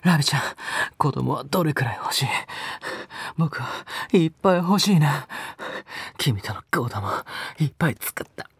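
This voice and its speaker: An actor in an intimate Japanese audio drama, playing a possessive, obsessively doting young male lover who murmurs tenderly and clingily in a breathy, often whispered voice. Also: medium pitch, animated, moderate pace, dry recording. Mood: dreamy, possessive.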